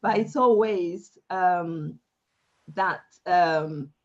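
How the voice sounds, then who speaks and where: A woman from Brazil with a Brazilian Portuguese accent, whose first language is Portuguese, speaking earnestly as an interview guest with many pauses and fillers. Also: lowish voice, muffled recording. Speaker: guest, career coach. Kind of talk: faith-centered interview answers.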